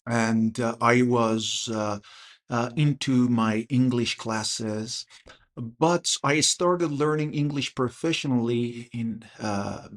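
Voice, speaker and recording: A man's voice: mid-range voice, takes it slowly. A man in his thirties, an English teacher hosting a casual podcast chat, who talks hesitantly with many fillers and restarts. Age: 30s. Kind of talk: casual conversation.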